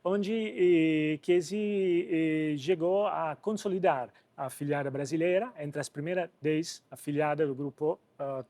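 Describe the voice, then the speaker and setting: A man's voice: fairly high pitch, dark tone, no room sound. A man from Italy speaking with an Italian accent as an interview guest, hesitating often as he searches for words. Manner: halting, hesitant delivery with repeated searching for words. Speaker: company president, interview guest. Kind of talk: interview answers on business.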